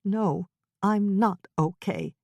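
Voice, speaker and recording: A woman voicing a frazzled young mother in an audiobook, shrill and tearful in her outbursts. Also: moderate pace, darkish tone, no echo, studio quality, lightly acted. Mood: upset, shrill. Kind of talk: audiobook character voice.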